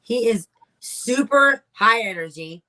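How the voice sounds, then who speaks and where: A woman co-hosts a casual podcast with a bright, clear voice that swings widely in pitch. She is bubbly and effusive, showering her guest with praise. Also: lively intonation, slow pace, poor audio. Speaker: podcast co-host. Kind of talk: casual chat.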